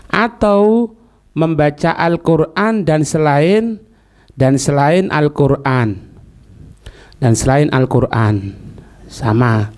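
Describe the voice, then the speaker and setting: A man giving an Islamic lesson, reading Arabic phrases and explaining them in deliberate, halting phrases. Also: fairly high pitch, darkish tone. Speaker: Islamic teacher.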